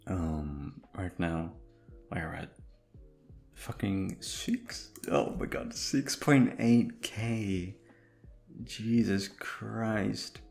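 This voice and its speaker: A man with a deep voice, sleepy, rambling casually to his listeners in an offhand, hesitant, sweary way. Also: very slow, gravelly, darkish tone, faint music behind. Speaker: audio creator talking to listeners.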